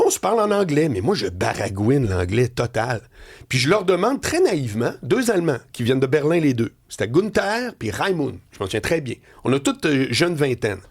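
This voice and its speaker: A Quebec man with a gravelly, slightly husky voice and a lively pitch that swings up and down. He is a middle-aged radio talk host who tells stories with lots of animation. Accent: Quebec French. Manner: storytelling, laughing, swinging pitch. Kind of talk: animated editorial chat. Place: radio studio.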